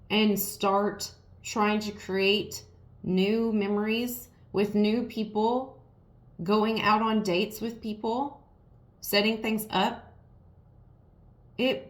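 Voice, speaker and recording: Hoarse woman tarot reader, mid pitch, blunt and repetitive, roomy echo. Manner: frank, repetitive, talks straight to the viewer. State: raspy, strained voice from yelling. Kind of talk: casual tarot reading to viewers.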